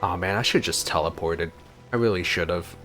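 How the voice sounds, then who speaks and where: A man's voice: deep voice, moderate pace. A man hosting a let's play, giving casual gameplay commentary. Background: soft game music.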